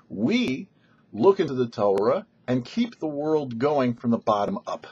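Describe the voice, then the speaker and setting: A man's voice: mid-range voice, slightly rough, dull audio. A man speaking as a rabbi and show host, giving a short scripted religious teaching in a didactic way with rhetorical questions. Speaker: rabbi, host of a weekly Torah portion show.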